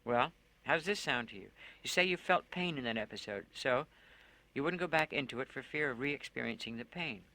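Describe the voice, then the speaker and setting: A patient psychiatrist speaks in a slow, soothing way and presses with careful questions, as a man voices him. His tone is bright and even throughout the storybook reading. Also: mid-range voice, rough recording. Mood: probing. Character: calm male psychiatrist, patient, probing.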